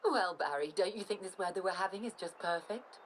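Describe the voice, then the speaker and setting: An actress playing a composed, polished news presenter reading a formal bulletin in a video game. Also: female voice, mid-range voice, brisk, lightly acted.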